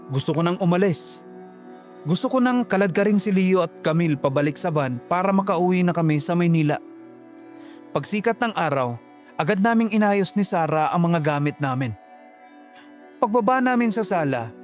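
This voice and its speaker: A man's voice: on the high side, darkish tone. A man narrating a first-person horror story in a tense, dramatic way.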